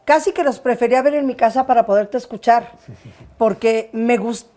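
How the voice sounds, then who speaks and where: A woman's voice: fairly high pitch, normal speed, mellow. Give me a woman hosting a friendly interview. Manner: familiar, affectionate prompting. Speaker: interviewer, channel host.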